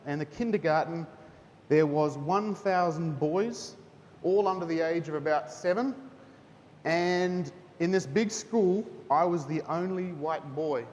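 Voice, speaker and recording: A man's voice: unhurried, a little mellow. A man, a teacher speaking at a school chapel in a chatty manner, often asking "okay?". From New Zealand. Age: 30s. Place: school hall. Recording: hall reverb, faint rumble.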